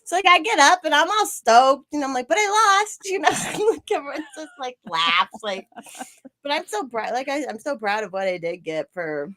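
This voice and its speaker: Lady host on a live chat show, kinda high voice, rambly, curses a bunch. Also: big pitch swings, moderate pace, bright, forceful tone. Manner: rambling, swears freely, laughs often. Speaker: podcast host, former city council candidate. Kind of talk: casual livestream chat.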